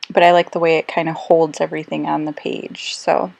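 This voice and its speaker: A woman who is slightly hoarse and losing her voice, chatting casually through a crafting voice-over with lots of ums and little self-corrections. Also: low-pitched, level tone, fairly quick, darkish tone, no room sound. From Australia. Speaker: scrapbooking crafter. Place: quiet room, recorded voice-over.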